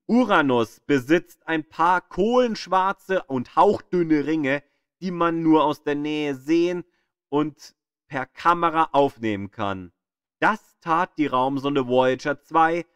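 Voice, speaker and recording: A man reading a scripted educational audio guide in a measured, emphatic storytelling manner, with pauses. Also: on the high side, dry recording.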